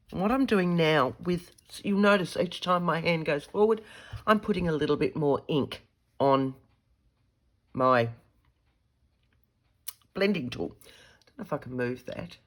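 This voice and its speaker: Croaky Australian woman demonstrating a craft. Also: low-pitched. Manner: chatty, thinks aloud, self-correcting. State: croaky from a head cold. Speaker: craft tutorial host. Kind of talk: casual demonstration while crafting. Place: craft desk indoors.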